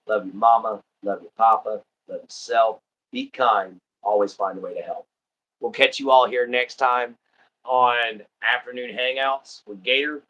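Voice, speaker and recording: A man with a Texan drawl talks loosely to his viewers, wandering from thought to thought with plenty of ums. His voice has a bright, forward edge at an ordinary middle pitch. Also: dull audio. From Southeast Texas. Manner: rambling, easygoing, many ums and uhs. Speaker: livestream host. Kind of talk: casual chat.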